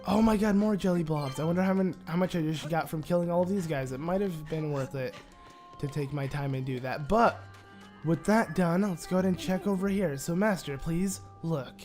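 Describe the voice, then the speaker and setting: A man with a raspy throat from being sick, chatting casually as he plays through a game, rambling with lots of filler words. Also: on the high side, brisk, darkish tone, faint music behind. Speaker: let's play gamer. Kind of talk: casual gameplay commentary.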